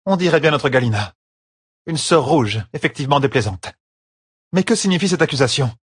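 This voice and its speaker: A man's voice: fairly high pitch, expressive, fairly quick, husky, no room sound, studio quality, voice pushed up. A man voicing a haughty, stern woman healer in an audiobook, with a curt, imperious and formal manner. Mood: indignant.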